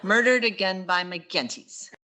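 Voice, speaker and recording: An actress playing a wry, quick-witted high school English teacher in 1940s radio sitcom style, with dry sarcastic asides. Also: female voice, noisy background, lightly acted. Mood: dryly resigned.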